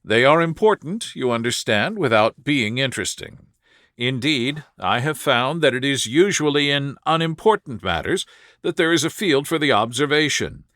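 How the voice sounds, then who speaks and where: A shrewd, self-assured male detective as a man reads him in a storybook narration. He explains things calmly and precisely, with a mid-pitched voice, lively intonation and a dry, close sound. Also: no echo, natural voice.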